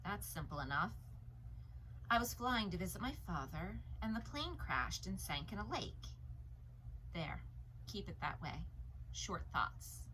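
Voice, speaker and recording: A woman voicing an anxious, stranded thirteen-year-old boy who reasons with himself in short, halting thoughts in a storybook read-aloud. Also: lowish voice, a bit bright, poor audio. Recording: noticeable background noise.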